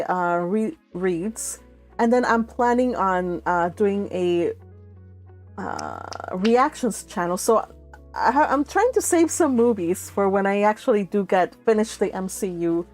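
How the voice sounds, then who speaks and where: A woman hosting a read-along livestream, chatting casually and reading out viewer comments in a gushing, giggly, rambling way. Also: mid-range voice. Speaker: livestream host of a book read-along channel.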